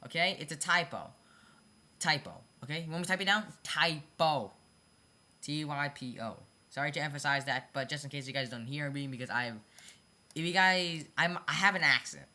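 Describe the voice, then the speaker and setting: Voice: mid-range voice, normal speed, bright, forceful tone. Someone giving a casual, off-the-cuff explanation of card game designs, often repeating and correcting themselves and apologizing for mistakes. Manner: repetitive, self-correcting, stumbles over phrasing; apologetic about own mistakes. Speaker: hobby card game video creator.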